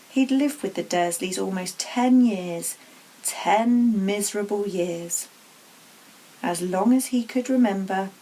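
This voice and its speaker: A woman's voice: mid-range voice, dark tone, slight background noise, rough recording. A woman narrating a children's storybook aloud as a teacher would to pupils.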